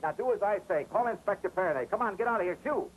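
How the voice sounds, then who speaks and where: An actor playing a brash, impatient American amateur detective in a 1950s TV crime drama. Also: male voice, high voice, expressive, very fast. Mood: impatient, bossy. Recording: noisy old 1950s TV soundtrack.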